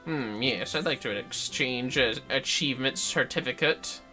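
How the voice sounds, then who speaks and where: A man's voice: medium pitch, a little slow, sharp tone, faint music behind. A man hosting a video game livestream with casual, playful chatter and muttered asides to the game. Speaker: livestream host playing an online video game. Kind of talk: casual gaming livestream chat.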